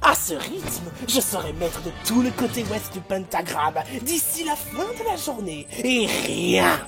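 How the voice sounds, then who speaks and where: A woman's voice: mid-range voice, very animated, unhurried, creaky. A woman voicing a boastful, pompous snake inventor villain in an amateur cartoon dub. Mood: gloating. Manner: pompous, grandiose. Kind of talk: theatrical cartoon villain dubbing. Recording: amateur dub under loud music.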